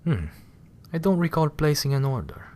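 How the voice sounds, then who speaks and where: A man's voice: on the high side, sing-song, darkish tone, a little hiss or hum. A man playing a genteel ship passenger. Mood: polite. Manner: courteous, measured and genteel. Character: polite refined gentleman passenger on a liner. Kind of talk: fan radio drama acting.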